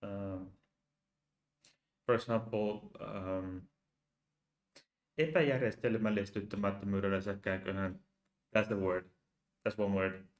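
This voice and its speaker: A man from Finland with a Finnish accent, hosting a casual live stream in English and pausing often to restart his sentences. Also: lowish voice, fairly even intonation. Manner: hesitant, halting with many restarts. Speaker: channel host. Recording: roomy echo.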